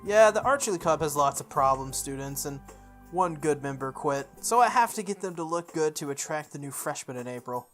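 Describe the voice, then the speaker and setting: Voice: mid-range voice, expressive, brisk, fairly bright tone, close to an everyday voice. A game player voicing a frank, tomboyish teenage schoolgirl from a visual novel, blunt and friendly, with music behind. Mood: frank, eager. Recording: music under the voice.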